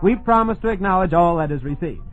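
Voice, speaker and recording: A man's voice: high voice, lively intonation, fast talker. An American man hosting an old-time radio show, reading scripted announcements in a chummy, folksy way to listeners. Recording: dull vintage radio recording with music. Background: music behind the voice.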